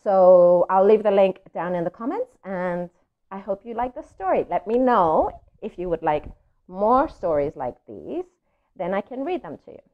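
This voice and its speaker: A woman hosting a children's story read-aloud, chatting to viewers in an expressive, child-directed way. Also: medium pitch, normal speed, highs cut off. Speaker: children's story reader and host.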